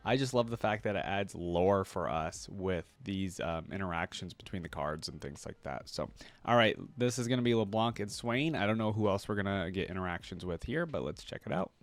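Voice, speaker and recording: A man's voice: low-pitched, lively intonation, brisk, no echo. A young man hosting a reaction video, chatting casually with lots of fillers.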